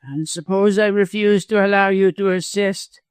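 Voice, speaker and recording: A sneaky, spiteful lawyer villain from a storybook, acted out by a male narrator. The voice sits high and snarls harshly, cowardly yet full of venom. The sound is close and dry. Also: fairly even intonation, normal speed, clean tone, no room sound, close to an everyday voice. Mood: snarling, indignant. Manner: snarling, gasping, harsh, chuckling.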